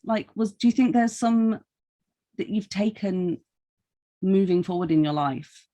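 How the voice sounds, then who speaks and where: A woman's voice: mid-range voice, a little slow, warm tone. A woman interviewing casually on a podcast, feeling her way through wordy questions.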